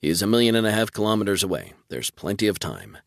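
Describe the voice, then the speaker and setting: A man's voice: on the low side, sing-song, fast, vocal fry, no room sound, studio quality. A man voicing a wry, weary male space fleet officer in a sci-fi audiobook. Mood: measured. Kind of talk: audiobook character dialogue.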